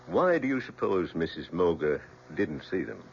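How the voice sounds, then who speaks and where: A stern, formal courtroom examiner in old radio drama style. His voice is very low and lively in inflection, heard through a dull recording. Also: vocal fry, a little hiss or hum, heavily acted. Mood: formal, probing. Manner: deliberate, formal, authoritative.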